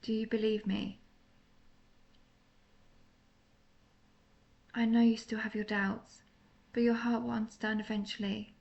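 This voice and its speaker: A woman voicing a tender, melancholy centuries-old vampire lover in an intimate audio roleplay, speaking softly and confessionally, at times nearly whispering. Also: a little high, level tone, normal speed, gravelly, muffled recording. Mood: gentle, reassuring. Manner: soft, confessional, partly whispered.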